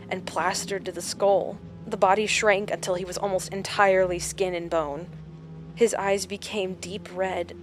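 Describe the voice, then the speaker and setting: A woman acting in an audio drama as a shaken survivor recounting a supernatural encounter in slow, halting storytelling. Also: lowish voice, close to an everyday voice.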